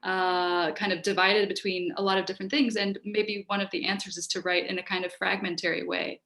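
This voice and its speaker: A woman's voice: medium pitch, flat delivery, fairly quick, a bit bright. Thoughtful woman thinking aloud. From United States, Hungarian-speaking home. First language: Hungarian. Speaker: writer giving an online workshop. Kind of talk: informal talk answering questions. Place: book-lined room at home. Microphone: video call, wearing headphones.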